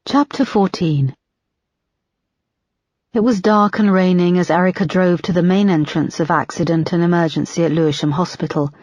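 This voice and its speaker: A woman reading a crime thriller audiobook in a steady, measured storytelling manner. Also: fairly low pitch, no room sound, dull audio. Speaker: audiobook narrator. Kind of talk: scripted narration.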